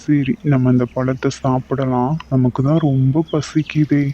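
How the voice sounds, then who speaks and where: A man's voice: medium pitch, flat delivery, unhurried, mellow, muffled recording, slight background noise, natural voice. A man voicing a tired, hungry woodcutter who muses to himself in a cartoon. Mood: weary. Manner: musing to himself, weary. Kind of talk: cartoon voice acting.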